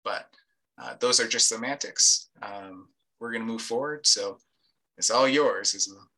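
A man with a low voice and a very bright, sharp tone hosts an online session. He talks loosely over a video call, rambling through the housekeeping with plenty of ums and uhs. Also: moderate pace, rough recording. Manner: loose, rambling, with many fillers. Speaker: event host. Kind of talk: casual event introduction.

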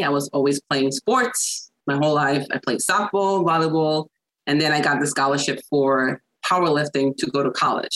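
A woman with a Puerto Rican accent, a chiropractor chatting as a podcast guest, laughing often and filling pauses with ums. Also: sits low, fairly bright tone, poor audio. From Puerto Rico. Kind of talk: casual interview chat.

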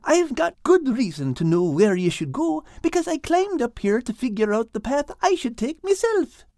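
High-pitched, jaunty Irish cat. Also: male voice, sing-song, normal speed, dark tone, dry recording, studio quality, heavily acted. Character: big fluffy male orange cat, jaunty, cheeky, folksy.

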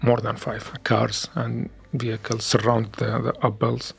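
A man with a Syrian Arabic accent, a native Arabic speaker, giving testimony in an interview in halting second-language English with frequent restarts. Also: mid-range voice, monotone, creaky, husky. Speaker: interviewee, former activist.

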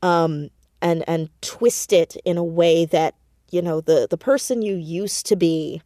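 A woman with a slightly low voice talks as a guest in a casual interview. She takes her time, yet tells things expressively and acts out her asides. The sound is dry and close. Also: warm tone, dry recording. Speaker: audio drama creator and voice actor, interview guest. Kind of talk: casual, animated interview chat.

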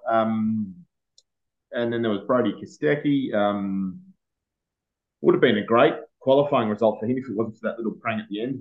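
An Australian man speaking as a motorsport editor, relaxed and hesitant with plenty of pauses. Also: fairly low pitch, dull audio. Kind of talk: casual motorsport chat.

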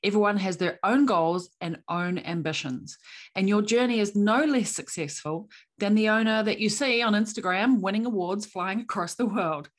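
A woman's voice: medium pitch, moderate pace. A woman hosting a business podcast, reading an upbeat intro and then interviewing a guest. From New Zealand. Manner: upbeat, promotional delivery. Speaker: podcast host.

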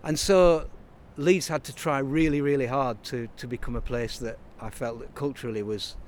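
A man's voice: medium pitch, fairly even intonation, normal speed, a little mellow. A man, talking as an interview guest in a rambling, reflective way with many restarts. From Burnley, Lancashire, England. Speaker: interview guest, writer and songwriter. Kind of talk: casual interview conversation.

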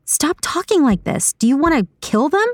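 A woman's voice: a little high, big pitch swings, a little slow, no room sound, clean studio sound, voice pushed up. A woman voicing an indignant, uneasy woman in an audiobook, with an incredulous, emphatic and challenging delivery. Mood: alarmed. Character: Saga, indignant hard-drinking woman, uneasy and accusing. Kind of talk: audiobook character dialogue.

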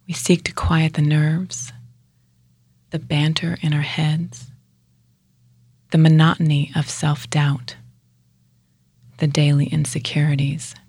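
Slow, breathy, low-voiced woman guiding meditation. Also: dry recording. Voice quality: breathy, husky, often near a whisper. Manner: hushed, slow, measured, with pauses between short phrases. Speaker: guided meditation teacher and show host. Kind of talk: guided yoga nidra meditation.

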